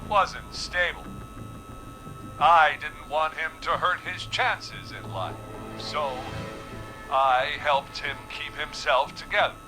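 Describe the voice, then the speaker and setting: An actor playing a trapped, defensive man in a horror game, halting and evasive as he justifies himself. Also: fairly high pitch, music in the background, low-quality recording. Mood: defensive, self-justifying. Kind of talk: scripted game voice acting.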